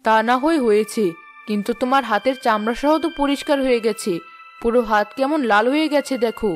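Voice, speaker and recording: A woman playing a sharp-tongued village housewife in a cartoon fairy tale, teasing and sarcastic. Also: a little high, fast, lightly acted. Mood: grudging, chiding. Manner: sarcastic, taunting. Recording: music and birdsong behind the voice.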